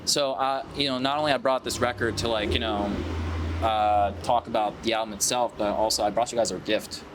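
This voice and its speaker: A man's voice: on the low side, steady tone, brisk, background noise. A man in his thirties hosting a casual interview, laid-back and full of fillers. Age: 30s. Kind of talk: casual chat.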